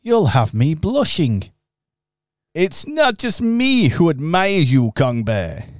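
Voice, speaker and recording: A man acting in a local radio pantomime, earnest and expressive in his storytelling. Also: a little high, takes it slowly, no echo, studio quality. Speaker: pantomime narrator and actor.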